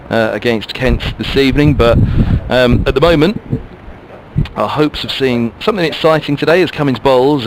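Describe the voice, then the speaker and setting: A man's voice: mid-range voice, noisy background. Chatty cricket commentator, man. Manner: chatty, musing between deliveries. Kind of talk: ball-by-ball radio commentary.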